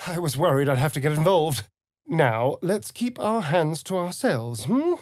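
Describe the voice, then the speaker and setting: A sardonic male vampire spawn for a fantasy video game. The delivery is arch and flirtatious, swooping widely in pitch and lingering slowly over words with mocking theatricality. The sound is close and dry. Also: animated, darkish tone, no room sound. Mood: amused, teasing. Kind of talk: video game character voice lines.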